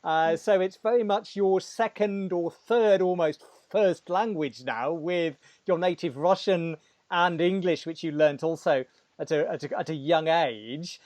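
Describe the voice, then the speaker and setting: A man's voice: fairly high pitch, unhurried, a little mellow, no echo. A man hosting an interview, asking long, articulate, winding questions. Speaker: podcast host. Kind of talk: interviewing a guest.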